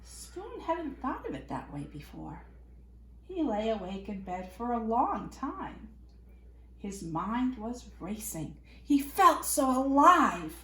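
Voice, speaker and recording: A woman reading a picture book aloud to young children in an expressive, playful way with dramatic emphasis. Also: fairly high pitch, takes it slowly. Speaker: children's storyteller. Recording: echoey room.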